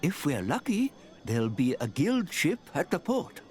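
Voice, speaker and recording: An actor playing a courteous, dryly formal gentleman companion in a video game cutscene. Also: a little high, sing-song, normal speed.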